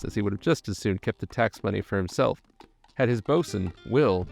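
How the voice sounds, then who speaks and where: A man reading scripted documentary narration in a measured storytelling manner. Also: lowish voice, gravelly.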